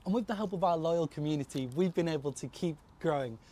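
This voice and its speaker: A man's voice: on the high side, quick pace, warm tone. Man reading an earnest donation appeal. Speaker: podcast co-host.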